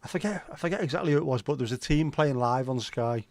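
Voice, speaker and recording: A middle-aged Scouse man hosts a relaxed livestream, bantering with viewers and swearing casually as he tells stories. His voice sits at an ordinary middle pitch, and the sound is close and dry with no room around it. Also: fast, dry recording. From Liverpool, England. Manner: banter-filled, sweary, jokey. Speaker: livestream host. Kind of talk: casual livestream chat reading viewer comments.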